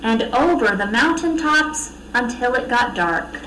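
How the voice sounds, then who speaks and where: A woman reading a children's storybook aloud with expressive narration. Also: on the high side, unhurried, distant mic. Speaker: storybook reader. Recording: echoey room, off mic, faint noise.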